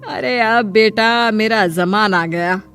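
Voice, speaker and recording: A woman's voice: medium pitch, fairly bright tone, faint music behind. A woman voicing a stern, sarcastic older mother-in-law in a cartoon story, scolding and bossy about her household. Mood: aggrieved. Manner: scolding, bossy, drawn-out address.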